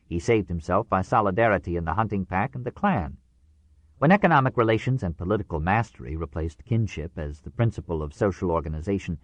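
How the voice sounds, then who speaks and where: Man, audiobook narrator, lowish pitch, very varied intonation, formal scripted delivery, measured phrasing. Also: dry recording.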